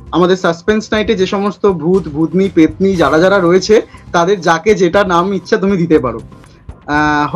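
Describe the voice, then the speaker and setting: A man hosts a playful quick-fire interview. His voice sits high for a man, and music plays behind him as he chats and puts his questions.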